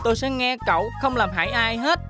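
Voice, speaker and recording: A man's voice: extremely high pitch, quick pace. A troublemaking genie in a cartoon. He comes across as sly and scheming, full of mischief. Music plays behind the voice. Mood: smooth, feigned sincerity. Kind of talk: animated cartoon dub.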